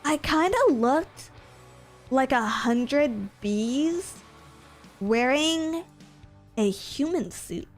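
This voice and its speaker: A sniffly young woman chats casually with her audience, slipping in fillers and little laughs. She speaks slowly in a somewhat high voice. Faint music plays behind her. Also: animated. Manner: chatty, giggly, rambling with many fillers. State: sniffly from allergies. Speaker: streamer. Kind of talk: casual chat with viewers.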